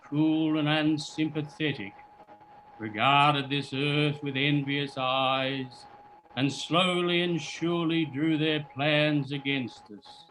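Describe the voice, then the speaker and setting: A man playing a grave narrator in a live reading of an old radio play, speaking in a solemn, measured and deliberate way. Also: on the high side, a bit bright, rough recording.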